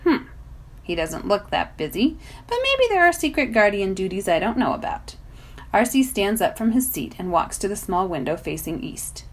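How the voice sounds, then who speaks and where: A woman narrating a children's novel as a volunteer reader, in an expressive storytelling style. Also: medium pitch, moderate pace.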